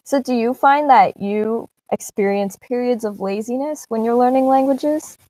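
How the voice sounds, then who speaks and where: A woman's voice: on the high side, moderate pace, dark tone, rough recording. A young adult woman hosting a casual interview, asking friendly, upbeat questions. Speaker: host.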